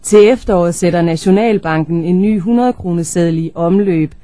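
A woman's voice: fairly low pitch, mellow, no echo. A woman reading scripted radio news as newsreader, on a studio microphone.

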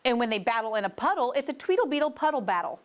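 A woman's voice: fairly high pitch, big pitch swings, quick pace. A woman voicing a playful, insistent male storybook fox who coaxes with tongue-twisting patter.

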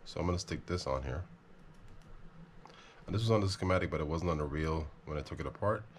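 A man repairing a fishing reel at his workbench, talking casually and thinking out loud as he works. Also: very deep voice, fast, a little hiss or hum. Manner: relaxed, thinking aloud, self-deprecating. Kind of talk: casual how-to narration while working. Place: workbench, indoors.

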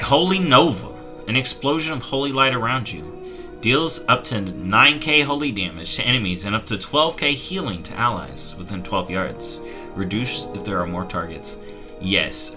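A man's voice: mid-range voice, pushed and bright. A man in his thirties on a headset, reading game tooltips aloud on a live stream and reacting with playful mock outrage. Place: small dark room at home.

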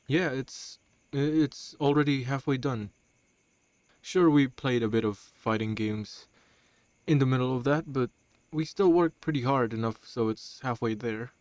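A man voicing a shy, awkward high school boy in an audio roleplay, hesitant, self-conscious and stammering. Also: a little mellow, no room sound, close to an everyday voice. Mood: shy, earnest. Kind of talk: amateur audio roleplay acting.

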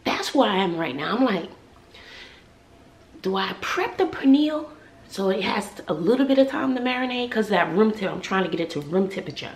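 A woman's voice: mid-range voice, expressive, a little fast. A middle-aged American woman chatting casually to camera in a sassy, emphatic, comedic way, repeating herself for effect. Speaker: vlogger. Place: indoors at home, mostly in a kitchen. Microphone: handheld or nearby camera at arm's length. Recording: echoey room with clear background noise.

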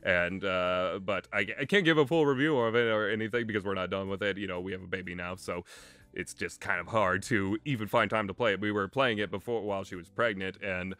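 Bright-voiced young man rambling casually. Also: low voice, clean tone, dry recording. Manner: hesitant, halting, often chuckling mid-sentence. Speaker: video game reviewer.

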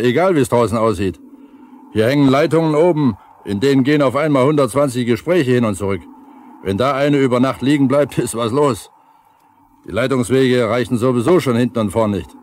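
Man acting older work-crew foreman, gruff, scornful, colloquial, mid-pitched, faintly noisy 1970s radio drama. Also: a little mellow, natural voice. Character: gruff, reproachful older foreman near retirement.

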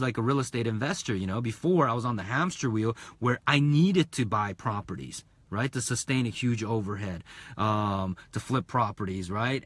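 A man's voice: mid-range voice, no echo. A man in his thirties, a real estate investor giving a casual live-stream talk from inside a car, speaking into a phone held at arm's length, full of fillers and rhetorical "right?"s.

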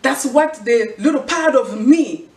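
Earnest high-voiced Congolese woman vlogger. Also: lively intonation, measured pace, a little mellow. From Congo, Central Africa. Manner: earnest, preachy, repeats "guess what". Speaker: fashion vlogger and clothing designer. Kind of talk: motivational talk to camera. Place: indoor room with clothing rack. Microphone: far camera. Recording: echoey room from far off.

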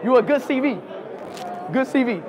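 A young man with an American accent, talking loud and hyped in slangy casual chat, repeating himself. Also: very high voice, normal speed. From United States. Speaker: streamer. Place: crowded indoor gala hall. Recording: loud crowd noise around. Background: crowd chatter.

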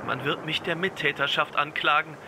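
Theatrical high-voiced male radio detective actor. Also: steady tone, moderate pace, background noise, natural voice. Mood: grave, accusing. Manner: theatrical, formal. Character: melodramatic private detective in a radio play. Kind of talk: old-fashioned radio play acting.

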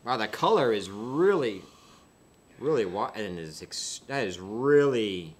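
A man in his thirties chats casually at a tasting table, thinking out loud with plenty of fillers and restarts. His pitch rises and falls a lot as he talks, and he sounds easygoing and unhurried. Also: husky. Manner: relaxed, musing, many fillers and restarts. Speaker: beer reviewer co-host. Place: small indoor studio set with dark curtain.

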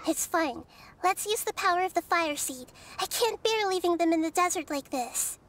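A woman voicing a gentle, wise, childlike young goddess in an anime game, soft and thoughtful. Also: very high-pitched, moderate pace, slight background noise. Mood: resolved, reassuring. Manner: thoughtful, calm, articulate. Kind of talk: scripted anime game dialogue.

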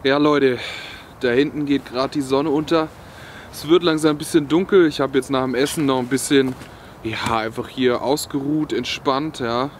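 A man's voice: medium pitch, steady tone, moderate pace, slight background noise. A young man chatting casually and colloquially to camera in a laid-back way, outdoors in the woods. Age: young adult. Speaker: outdoor vlogger.

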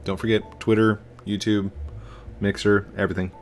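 A man's voice: fairly low pitch, level tone, normal speed, soft background music. A middle-aged American man giving casual, playful gameplay commentary, rambling to himself and his viewers. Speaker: gaming video host. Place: indoors at a desk, webcam stream setup.

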